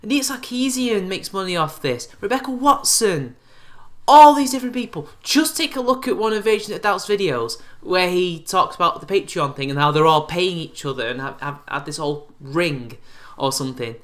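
A man's voice: sits high, expressive, normal speed, a bit bright. A man in his twenties ranting sarcastically in a response video, recorded on a webcam in a small room. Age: 20s. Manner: sarcastic, exasperated rants. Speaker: YouTube commentator. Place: small bright room indoors.

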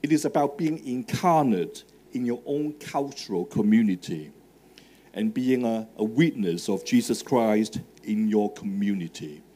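A man's voice: lowish voice, lively intonation, a little slow, dark tone. Man with a Hong Kong accent. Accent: Hong Kong English. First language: Cantonese. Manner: conversational with fillers, asks the audience questions. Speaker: speaker at a student evangelistic talk. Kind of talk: live talk to a student audience. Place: large marquee tent with a stage. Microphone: handheld microphone.

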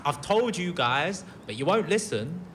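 A man's voice: a little high, lively intonation, unhurried, fairly bright tone. An actor playing a blunt, laid-back young man behind a camera in an audio drama, casual and matter-of-fact, with a British accent. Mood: blunt, insistent. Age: young adult. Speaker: actor in a fiction podcast. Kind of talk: naturalistic audio drama dialogue. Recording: faint ambient background noise.

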